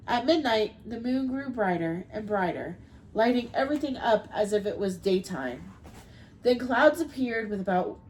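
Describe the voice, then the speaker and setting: A woman's voice: medium pitch, darkish tone, a little hiss or hum, poor audio. A young woman with a cold, reading a folk tale aloud on a podcast with small slips and restarts, then chatting casually. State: has a cold. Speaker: podcast co-host.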